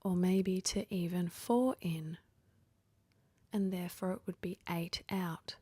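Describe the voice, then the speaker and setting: Slow, breathy woman guiding sleep meditation. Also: fairly low pitch, steady tone, no room sound. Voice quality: breathy, whispery, half-voiced. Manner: gentle, unhurried, with long pauses between phrases. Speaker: guided meditation instructor. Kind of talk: guided sleep meditation, soothing instruction.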